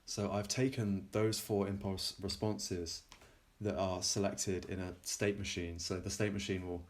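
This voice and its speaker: A man presenting his own software in an informal technical demo, halting and often pausing mid-sentence. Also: deep voice, flat delivery. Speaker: plugin developer presenting a demo.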